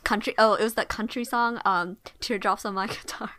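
A woman's voice: medium pitch, steady tone, a little fast, fairly bright tone. A young adult woman leading a casual conversation, telling a memory in a chatty, reflective way and asking her friends follow-up questions. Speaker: discussion leader.

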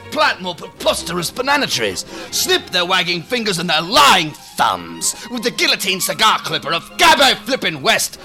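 Wildly theatrical, deranged captain. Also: male voice, creaky, full character voice. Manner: florid, declamatory, swinging between whisper-low and shouting. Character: flamboyant, deranged, theatrical self-styled captain, grandiose and volatile. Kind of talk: theatrical monologue in sci-fi audio drama. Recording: music behind the voice.

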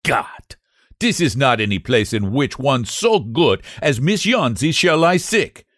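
A man voicing a fierce little old painter with a German accent, gruff and loud. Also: medium pitch, very animated, a little slow, dry recording, studio quality, voice pushed up.

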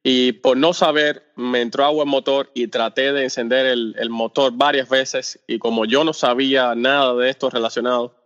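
A man from Cuba with a Cuban accent, a podcast guest talking casually and haltingly, often pausing on fillers and restarting his sentences. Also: medium pitch, level tone, a bit bright. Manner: hesitant, searches for words with many fillers and restarts. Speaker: podcast guest, off-road video creator. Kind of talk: casual interview talk. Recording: dull lo-fi sound with long reverb.

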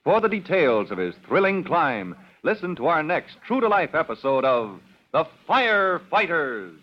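A man's voice: fairly high pitch, very animated, moderate pace. A man announcing a children's radio drama, narrating dramatically and addressing young listeners. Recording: dull lo-fi old radio recording.

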